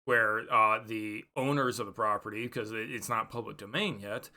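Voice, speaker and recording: A man giving a casual, unscripted book review, thinking aloud in a halting, rambling way and offering hedged opinions. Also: lowish voice, steady tone, pushed and bright.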